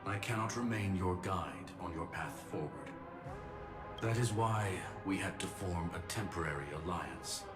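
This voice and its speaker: A man's voice: deep voice, a little slow. A man playing a logical, courteous genius in a video game, formal, precise and unflappable, echoing over music. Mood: composed, precise. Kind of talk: dramatic game voice acting.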